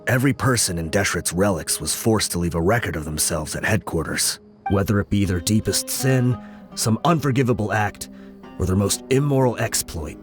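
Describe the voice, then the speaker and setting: Brief: man playing a grim, vengeful gang lieutenant, low voice, measured telling, soft music behind. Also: lightly acted. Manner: measured, explaining. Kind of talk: video game voice acting.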